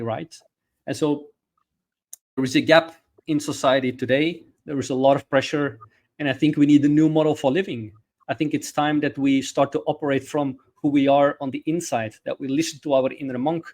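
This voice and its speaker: A man's voice: medium pitch, a little mellow. A middle-aged man from Belgium, explaining his ideas as a podcast guest and coach. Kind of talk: explanatory interview talk.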